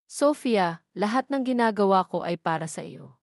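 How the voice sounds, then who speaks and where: A woman voicing a guarded young billionaire man who speaks softly and sincerely in a storybook drama. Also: medium pitch, expressive, moderate pace, gravelly, dry recording, clean studio sound. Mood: earnest.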